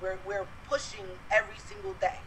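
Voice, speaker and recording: A young woman from Brooklyn with a New York accent, chatting forcefully as a guest on a phone video call from her bedroom. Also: medium pitch, flat delivery, fairly quick, a little hiss or hum, low-quality recording. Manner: forceful, talks at people. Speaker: interview guest. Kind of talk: casual video-call chat. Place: bedroom at home, indoors.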